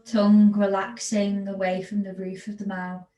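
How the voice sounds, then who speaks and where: A woman yoga teacher giving guided relaxation instructions in a soothing, unhurried manner with long pauses between phrases. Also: mid-range voice, level tone, warm tone. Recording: echoey room with background noise.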